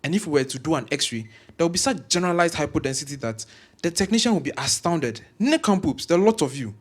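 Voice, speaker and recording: An actor with a Ghanaian accent playing an arrogant senior medical professor who scolds in grand, wordy language. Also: male voice, a little high, animated, fast. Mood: scornful, exasperated. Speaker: voice actor in an audio drama. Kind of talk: scripted audio drama acting.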